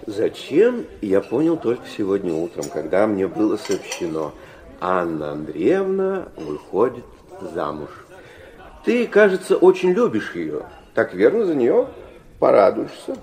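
A deep-voiced, worldly middle-aged gentleman in a radio drama. He talks with mocking aristocratic irony, his intonation leaping about theatrically. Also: huge pitch swings, a little slow, exaggerated voice. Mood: wry, teasing. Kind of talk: Russian radio drama acting.

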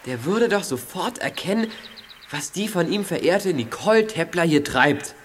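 A man's voice: high voice, expressive, normal speed, breathy edge. An actor playing a clever, impatient teenage boy detective in a German children's audio drama, explaining and scolding in an exasperated way.

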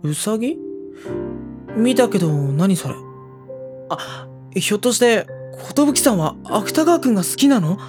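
A woman playing a mild, hesitant high school boy in a Japanese audio drama, soft-spoken and polite, with inner monologue. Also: lively intonation, a little slow, long pauses, music in the background, close to an everyday voice. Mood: puzzled. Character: mild, obtuse high school boy narrating his anxieties.

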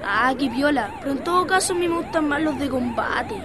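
A woman's voice: sits high, animated, a little fast, crowd noise. A woman playing a casual, easily exasperated Chilean schoolboy, full of slang, in an educational radio drama. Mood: casual, eager.

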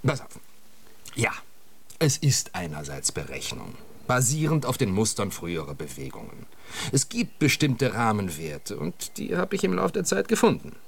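A man's voice: medium pitch, wildly swooping pitch, moderate pace, gravelly, husky, exaggerated voice. An actor in a naturalistic radio drama playing a distracted, flirtatious man who halts and searches for words. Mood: preoccupied, hesitant. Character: distracted, flirtatious man, brother and obsessive contest winner.

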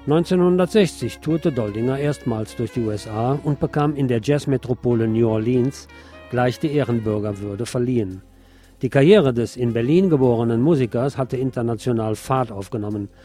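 A man narrating a scripted obituary tribute as a radio host, in a measured, formal reading manner. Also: medium pitch, dark tone, faint music behind.

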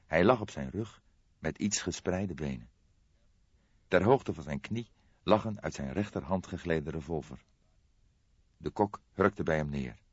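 A man's voice: deep voice, animated, measured pace, pauses often, slightly rough. A man narrating a crime novel as an audiobook, reading scripted prose in a dramatic storytelling style. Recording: dull lo-fi audiobook, roomy echo.

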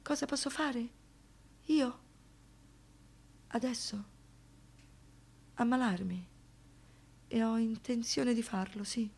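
An actress playing an anguished, defiant survivor in a theatrical radio monologue, moving between hushed murmurs and impassioned outbursts. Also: female voice, a little high, slow, gravelly, long pauses, husky, heavily acted. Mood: bitter, broken resignation. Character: anguished, defiant woman, survivor of a brutal assault.

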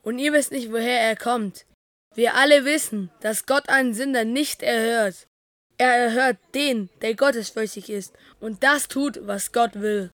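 Audio drama humble everyman, voiced by a woman: high register, earnest and emphatic, close dry sound. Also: measured pace, a bit bright, no echo. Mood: defiant, incredulous. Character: earnest, plain-spoken man healed of blindness.

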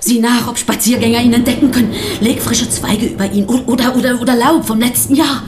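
An actress playing a sardonic, defiant pastor's wife in a radio drama, speaking with provocative, theatrical emphasis. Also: female voice, fairly high pitch, steady tone, brisk, background noise, lightly acted. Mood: wry, narrating. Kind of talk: German radio drama acting.

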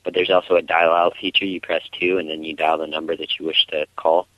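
A very low-voiced man on a dull, lo-fi phone connection, chatting casually as a caller. He speaks haltingly and often repeats his words. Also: flat delivery, no echo. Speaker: caller to a call-in show. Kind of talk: casual chat. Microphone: phone call through internet voice service. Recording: muffled lo-fi internet phone line.

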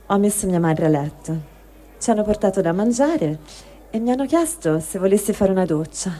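A woman's voice: medium pitch, lively intonation, moderate pace, warm tone. A woman giving a dramatic reading of a first-person written testimony. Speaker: reader of a translated testimony.

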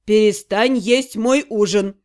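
A woman's voice: mid-range voice, slow pace, a little mellow, pitched below the performer's own voice, natural voice. A woman voicing a sleepy, grumpy young male necromancer who grumbles and teases. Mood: grumbling. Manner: grumbling, drowsy, teasing.

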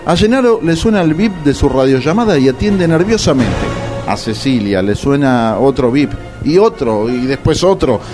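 A man's voice: mid-range voice, very animated, normal speed, over music. A man, giving comic scripted narration for a radio play.

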